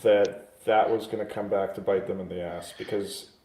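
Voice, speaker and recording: A man chatting casually as a film review guest, halting, with deadpan jokes. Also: fairly low pitch, reverberant. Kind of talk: casual chat.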